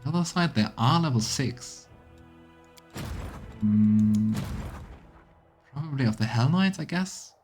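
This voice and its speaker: A man's voice: mid-range voice, lively intonation, measured pace, pauses often, darkish tone, faint music behind. A man giving casual let's play commentary on a video game, musing aloud and trailing off as he goes. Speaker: streamer playing a video game.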